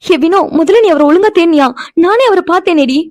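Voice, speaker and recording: A woman's voice: extremely high pitch, brisk, a little mellow. A woman playing an anxious, caring young woman in an emotional audio drama, pleading and fussing earnestly. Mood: concerned, soothing.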